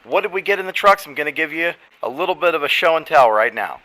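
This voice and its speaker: A man's voice: on the high side, lively intonation, fast talker, bright, forceful tone, low-quality recording. A man presenting radio gear in an informal show-and-tell, talking straight to viewers in an emphatic, explanatory way. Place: garage partly sunk into the ground.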